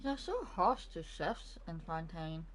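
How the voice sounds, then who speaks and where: A woman's voice: lowish voice, sing-song, slow pace, over a noisy crowd, low-quality recording. A woman giving casual reactions as she plays through a video game. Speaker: gamer commenting on her playthrough. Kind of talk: casual let's play reactions. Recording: loud background noise, clicks, game audio.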